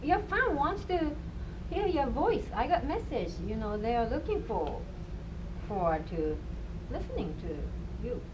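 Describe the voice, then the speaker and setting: A woman's voice: a little high, very animated, unhurried, noisy background. A woman interviewing a musician, attentive and encouraging, with frequent "mm-hmm"s and slightly non-native phrasing. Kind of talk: interview questions and backchannels.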